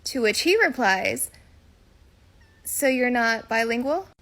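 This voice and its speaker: A woman's voice: on the high side, expressive, takes it slowly, fairly bright tone. A woman telling a story to camera in a dry, incredulous way.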